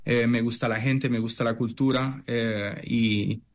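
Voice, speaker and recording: A middle-aged man from Italy talks through his answers in a halting, searching way. He pauses often on fillers and restarts his sentences, with a voice of ordinary pitch for a man. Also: fairly even intonation. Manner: hesitant, many fillers and restarts. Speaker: chef and restaurant owner. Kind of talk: interview answers.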